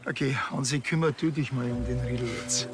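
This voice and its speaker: A man's voice: medium pitch, steady tone, fairly quick, close to an everyday voice. A man with a Bavarian accent playing a dry, bossy, matter-of-fact police officer in a TV crime comedy. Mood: bossy, patient.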